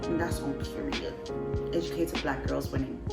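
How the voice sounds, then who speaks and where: A woman's voice: very deep voice, moderate pace, gravelly, loud music behind the voice. Bubbly Cameroonian woman in an echoey room. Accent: Cameroonian English, shifting toward British. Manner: bubbly, laughs often, playful asides. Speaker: vlogger and voiceover artist. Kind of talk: casual chat to camera.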